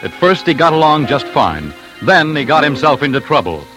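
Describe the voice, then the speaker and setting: A man's voice: fairly high pitch, sing-song, moderate pace. A man delivering dramatic scripted narration for an old-time radio documentary. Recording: old radio broadcast with music.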